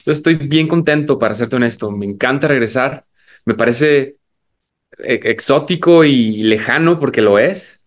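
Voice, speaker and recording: A man from Mexico talks openly about coming back to perform. His voice sits at a middling pitch. He sounds relaxed and candid, as if in an interview. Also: moderate pace. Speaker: interviewed musician. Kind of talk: recorded interview clip.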